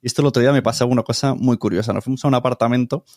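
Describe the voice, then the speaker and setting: A man's voice: mid-range voice, steady tone, racing, darkish tone, dry recording, studio quality. A middle-aged man with an accent from Spain, talking casually and informally as an interview guest, explaining with examples. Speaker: podcast producer, interview guest.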